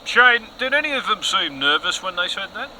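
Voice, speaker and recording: A man acts out a theatrical TV news anchor in an audio satire. His voice is sharp and forceful and swoops widely in pitch. He is gullible, insinuating and deeply earnest in his suspicion. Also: big pitch swings, moderate pace, slight background noise, heavily acted, low-quality recording. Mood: intrigued, suspicious. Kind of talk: satirical broadcast news audio comedy acting.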